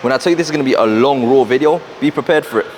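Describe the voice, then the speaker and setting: A young man with a London accent, talking fast and rambling to camera in a casual motivational vlog, wearing a clip-on mic in a gym. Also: mid-range voice, noisy background. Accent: London English. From South London, England. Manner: fast, rambling, repeats himself. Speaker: fitness and mindset coach. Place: busy gym.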